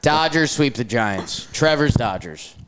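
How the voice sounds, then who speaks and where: A young man co-hosting a casual sports show, joking and swinging his voice up and down as he recaps games. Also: smooth. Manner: jokey, animated, quick swings between high and low voice. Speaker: podcast co-host.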